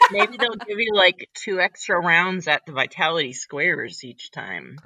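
A woman's voice: lowish voice, very animated, unhurried, sharp tone, no echo, recorded from a distance. A woman co-host chiming in with encouraging, chuckling remarks in casual chat. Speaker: podcast co-host.

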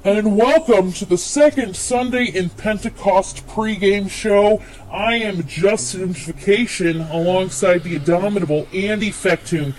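A woman's voice: mid-range voice, unhurried, darkish tone, natural voice, rough recording. A woman playing a cheesy male sports anchor, giving hyped, emphatic play-by-play in a comedy sketch. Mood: hyped, impressed. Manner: hyped, emphatic announcer delivery. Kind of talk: mock sports broadcast commentary.

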